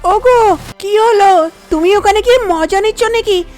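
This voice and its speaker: A woman's voice: very high voice, measured pace, a little mellow. A woman voicing a shy, fretful young bride in a cartoon, fussing at her husband. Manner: fussing, complaining. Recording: music bed under the voice.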